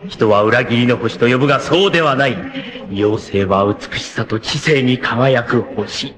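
An actor playing a vain, scheming, effete warlord villain in a cartoon, with a haughty, theatrical, word-savoring delivery. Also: male voice, medium pitch, a little slow, crowd noise, close to an everyday voice. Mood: smug, self-adoring. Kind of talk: theatrical anime villain acting.

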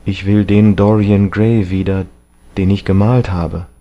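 A man plays an earnest artist in a literary novel, deep and slow. He speaks in a hushed, strained way, full of grief and gentle reproach. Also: highs cut off. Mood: sad, reproachful. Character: grieving, reproachful male painter, earnest and gentle.

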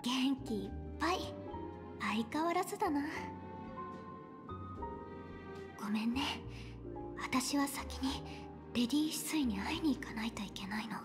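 A woman voicing a gentle, determined young girl in a video game, soft-spoken and sincere. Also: high-pitched, lively intonation, moderate pace. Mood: fond, apologetic. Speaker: game voice actor. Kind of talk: Japanese game story dialogue. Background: game background music.

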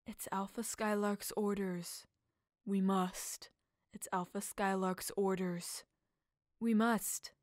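Woman doing a cartoon mama wolf, firm and serious, slow even delivery. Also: medium pitch, dry recording, clean studio sound, natural voice. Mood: firm, solemn. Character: adult wolf mother, firm and dutiful. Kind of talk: animated cartoon voice audition.

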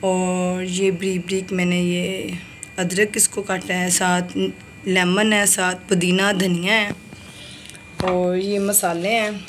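A woman's voice: lowish voice, level tone, takes it slowly. A woman vlogger walking through a home cooking recipe step by step in casual chat, pointing things out as she goes. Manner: chatty, repeats "ye dekhiye" while showing each step. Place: home kitchen. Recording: kitchen sizzle and noise behind.

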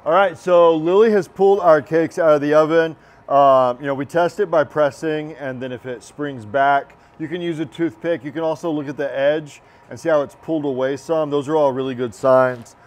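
Chef teaching in a kitchen. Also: male voice, a little high, moderate pace, few pauses. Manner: relaxed, encouraging, talks while working. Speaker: culinary instructor. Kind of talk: cooking demonstration. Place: large teaching kitchen, indoors.

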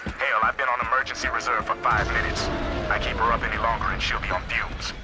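A man's voice: on the low side, steady tone, quick pace, natural voice. An actor playing a terse, dutiful male soldier in a war video game, talking in military radio style. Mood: grim, controlled. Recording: loud music and battle sounds.

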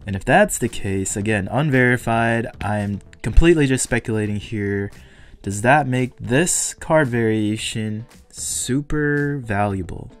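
A man's voice: mid-range voice, measured pace. Enthusiastic male collector, music underneath. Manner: enthusiastic, speculative collector talk. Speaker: toy car collector vlogger. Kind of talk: casual unboxing commentary.

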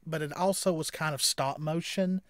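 Guy in his 30s doing casual reaction talk, kinda high voice, rambly, close dry sound. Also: fairly even intonation, normal speed, no room sound. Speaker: reaction show co-host. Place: small indoor room at home.

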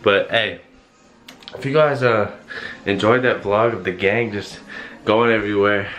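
A man's voice: lowish voice, measured pace, a bit bright, slight background noise. A young man casually talking to his phone camera at home, rambling and slangy as he wraps up his vlog. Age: young adult. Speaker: vlogger. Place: indoors at home, small room. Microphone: phone held at arm's length.

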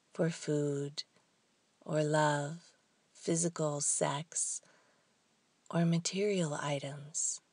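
Very slow, low, breathy woman guiding meditation. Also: no echo. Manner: soft, hushed and breathy, with long pauses. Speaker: guided meditation teacher. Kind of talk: guided meditation narration.

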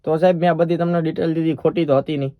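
A man's voice: fairly high pitch, steady tone, fast, no echo, narrow, tinny audio. A man with a Saurashtra Gujarati accent making a prank call as a polite, persistent bank employee. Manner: polite, persistent, official-sounding. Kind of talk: prank phone call in casual dialect.

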